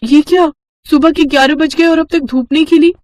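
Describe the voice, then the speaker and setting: A woman's voice: high-pitched, steady tone, fairly quick, a little mellow, a little hiss or hum, voice pushed up, lightly acted. A woman voicing a young city-bred new bride in a cartoon moral story, plaintive and whiny. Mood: dismayed. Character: young city-bred new daughter-in-law, shivering and complaining.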